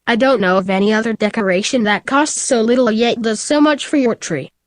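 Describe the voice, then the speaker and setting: A woman delivers a scripted, vintage-style radio commercial. Her voice is on the high side and recorded dry. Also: brisk, no room sound. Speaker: advertisement presenter. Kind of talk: scripted old-time radio commercial read.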